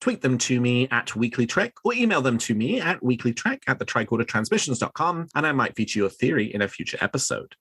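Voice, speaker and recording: Bright-voiced male podcast host, dry close sound. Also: mid-range voice, big pitch swings, fast, few pauses, husky, no echo. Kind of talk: fan news podcast discussion.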